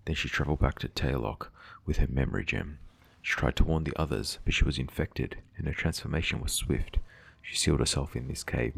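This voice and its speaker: A man's voice: rumbling low, gravelly, slightly rough. A man reading video game quest text aloud as in-character scripted narration. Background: faint music.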